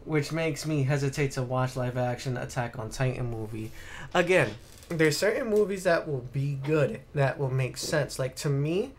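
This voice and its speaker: A man's voice: medium pitch, moderate pace. A man co-hosting a casual video game podcast on a live stream, ranting bluntly with plenty of swearing. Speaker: podcast co-host.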